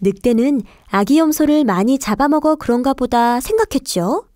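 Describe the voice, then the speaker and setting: A woman's voice: sits high, animated, moderate pace, a little mellow, no echo, clean studio sound. A woman narrating a scripted children's fairy tale in a careful storytelling manner.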